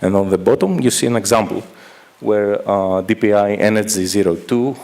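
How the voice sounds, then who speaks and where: A middle-aged man from Greece giving a technical conference presentation on a dark hall stage, speaking haltingly with many fillers and restarts, holding his microphone at a distance. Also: lowish voice, creaky. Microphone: handheld microphone held at a distance.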